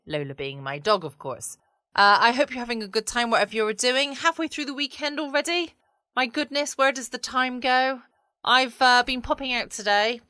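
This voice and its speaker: A woman's voice: on the high side, moderate pace, pushed and bright, clean tone, dry recording, studio quality. A woman chatting casually and wryly as the host of a late-night bedtime podcast. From United Kingdom.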